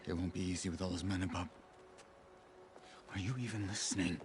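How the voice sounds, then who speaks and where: A man acting a gruff, blunt, impatient warrior in a video game, with a curt, sardonic manner. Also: deep voice, fairly even intonation, a little fast, noisy background, natural voice. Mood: grim, impatient. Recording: music behind the voice.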